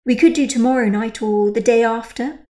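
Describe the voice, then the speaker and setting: A woman voicing an eager, fawning middle-aged mother who speaks high and emphatic. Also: normal speed, dark tone, reverberant, lightly acted. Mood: hesitant.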